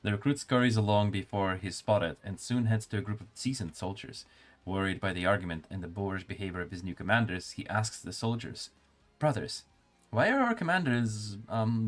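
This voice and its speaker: Calm low-voiced male streamer. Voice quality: calm, soothing. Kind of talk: reading game text aloud with casual commentary.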